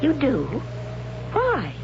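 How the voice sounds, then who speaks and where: A proud young huntress, blunt and questioning, with a fairly high voice that swings widely in pitch. It comes from a 1970s radio drama, dull and noticeably noisy. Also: female voice, huge pitch swings, extremely slow, exaggerated voice. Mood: puzzled. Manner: blunt, matter-of-fact, questioning.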